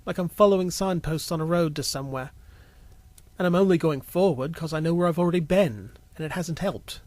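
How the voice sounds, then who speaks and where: A man's voice: on the high side, fairly quick, a little mellow, no echo, close to an everyday voice. A man playing an unsettled, searching time lord traveller in a storybook, speaking casually and frankly. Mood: uncertain, frank. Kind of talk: storybook character dialogue.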